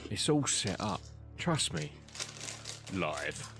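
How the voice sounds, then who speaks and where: A man's voice: on the low side, big pitch swings, takes it slowly, creaky, over music, exaggerated voice. An actor playing a dry, dismissive, sceptical man in an audio drama. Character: dry, sceptical man doubting a ghost show.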